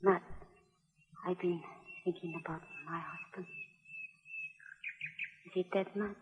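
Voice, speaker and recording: A woman playing a dying female outlaw in an old radio western melodrama, weak, halting and plaintive. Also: lowish voice, fairly even intonation. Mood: wistful confession. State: weak, dying from blood loss. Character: dying outlaw woman, cold greed turned lonely regret. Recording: noisy old radio recording, narrow, reverberant, birdsong.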